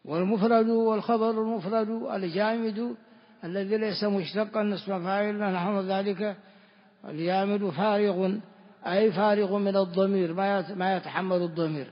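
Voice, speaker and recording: A man from Ethiopia teaching classical grammar, explaining slowly and restating each term before confirming it. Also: high voice, steady tone. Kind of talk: classical Arabic grammar lesson. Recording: dull lo-fi recording with long hall reverb.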